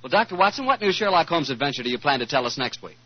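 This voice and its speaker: American male announcer for vintage 1940s radio: salesmanlike, polished, with animated, swooping intonation. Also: fairly high pitch, racing, dry recording. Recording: dull 1940s radio recording.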